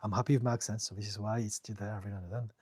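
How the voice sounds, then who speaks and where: A middle-aged man from France with a French accent, calmly reading viewer questions and answering them as a therapist on a live stream. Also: on the low side, racing, husky, dry recording, clean studio sound. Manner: calm, reassuring, reads out viewer comments with light laughs. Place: home in the UK.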